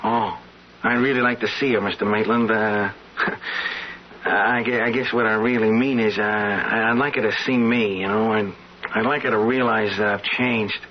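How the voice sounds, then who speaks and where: An actor in an old-time radio drama playing an eager, uneducated, lovestruck rich man who talks in halting, colloquial, earnest speech. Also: lowish voice, steady tone, slight background noise, close to an everyday voice. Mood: earnest, hopeful. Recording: dull vintage radio recording, music behind.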